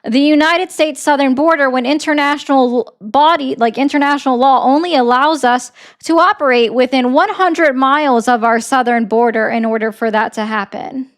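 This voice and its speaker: A woman's voice: high-pitched, moderate pace, few pauses. Sarcastic woman hosting a call-in stream. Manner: sarcastic, presses callers with repeated questions. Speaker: livestream host taking callers. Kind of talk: political call-in debate.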